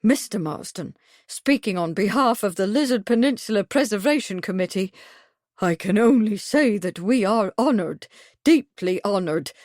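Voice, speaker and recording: A woman voicing a bustling, shrill, strident committee lady who talks in a brisk, impatient way. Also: animated, darkish tone, no room sound, clean studio sound, close to an everyday voice. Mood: fussy.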